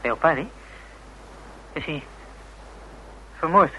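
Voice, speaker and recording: An actor playing an anguished, cornered younger brother in a radio play, agitated, defensive and halting. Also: male voice, a little high, lively intonation, bright, forceful tone, exaggerated voice. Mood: uneasy. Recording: noisy old radio drama recording.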